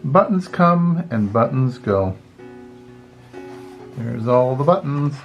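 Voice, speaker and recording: A man's voice: mid-range voice, animated, takes it slowly, muffled recording, soft background music. An older man with a mid-range voice talks like a grandpa sharing a picture book with children. His delivery is casual and folksy, wandering a little as he goes. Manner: folksy, rambling, often saying "anyway". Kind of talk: casual storytelling and chat to children.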